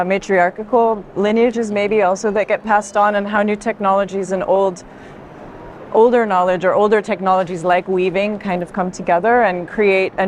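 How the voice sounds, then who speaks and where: Woman discussing art amid clear background noise. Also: medium pitch. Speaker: art institution director on a fair tour. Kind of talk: conversational art commentary. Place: busy art fair hall.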